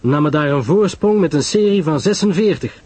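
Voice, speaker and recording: A surly, blunt British commander acted by a man in an audio drama. His husky voice is pushed up in pitch, with forceful, clipped delivery and strong swings in tone. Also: lively intonation, normal speed, no echo. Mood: stiffly hearty. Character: surly, jealous British naval commander, awkwardly hearty in company.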